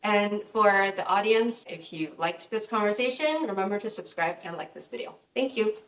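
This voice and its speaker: A woman's voice: mid-range voice, normal speed, fairly bright tone. A woman interviewer asks her questions hesitantly, with frequent ums and uhs. She sits well back from the mic on a video call, so her voice sounds distant and roomy. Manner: hesitant, many fillers.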